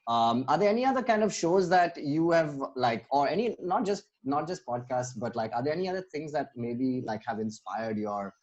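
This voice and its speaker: A man's voice: medium pitch, sing-song, normal speed, few pauses, darkish tone. A man hosting a casual podcast interview, talking in an enthusiastic, rambling way. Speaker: podcast host interviewing guests. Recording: dull, lo-fi audio.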